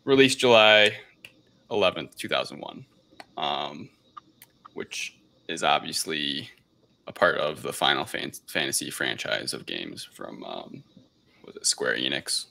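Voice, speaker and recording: Very deep, gravelly-voiced man, bright edge. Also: expressive, measured pace, long pauses. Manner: hesitant, unscripted, rambling delivery. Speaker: podcast host. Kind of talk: casual podcast film review.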